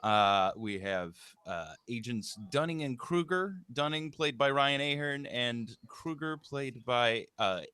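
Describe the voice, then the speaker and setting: A man's voice: mid-range voice, expressive, slow, few pauses, pushed and bright, clear, resonant tone, no echo. A man hosting an informal live script read, talking casually and narrating stage directions. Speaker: host, writer and stage-direction reader of a live table read.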